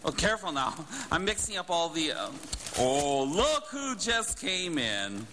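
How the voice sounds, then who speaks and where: An actor playing a scatterbrained, bumbling uncle with a muddled, halting manner in a live radio drama. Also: male voice, a little high, big pitch swings, pushed and bright, slight background noise, exaggerated voice. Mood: jovial, muddled.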